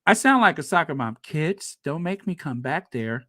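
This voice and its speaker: A man hosting a casual livestream, chatting with viewers and reading their comments aloud, animated and quick to laugh. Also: a little high, dry recording, clean studio sound. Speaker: livestream host.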